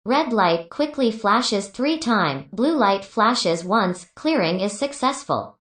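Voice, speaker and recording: A woman's voice: medium pitch, expressive, slow, a bit bright. Woman reading instructions, roomy echo. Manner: clipped step-by-step instructions. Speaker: product tutorial narrator. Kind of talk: scripted instructional narration.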